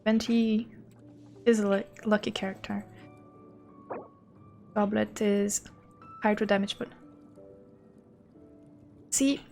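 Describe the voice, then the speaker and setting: A woman's voice: medium pitch, little pitch movement, takes it slowly, vocal fry, long pauses, a little mellow, soft background music. A woman game streamer chatting casually as she thinks aloud, rambling and a little self-deprecating. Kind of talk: casual livestream chat and commentary.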